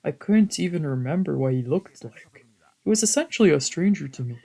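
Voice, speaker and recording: A woman's voice: low voice, animated, fairly quick, vocal fry, warm tone, breathy edge. A woman VTuber streamer reading visual novel narration aloud in a dramatic storytelling style.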